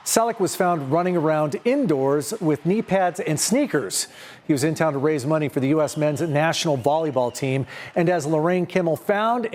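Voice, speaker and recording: A man's voice: on the high side, darkish tone. A man with an American accent presenting scripted links to viewers on a news programme.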